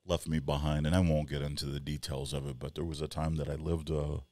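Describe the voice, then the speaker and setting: A man in his forties, co-hosting a podcast in casual chat, rambling and animated as he tells stories with quoted asides. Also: a bass voice, quick pace, no room sound. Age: 40s. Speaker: podcast co-host. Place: small wood-paneled studio room. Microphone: headset and boom mic.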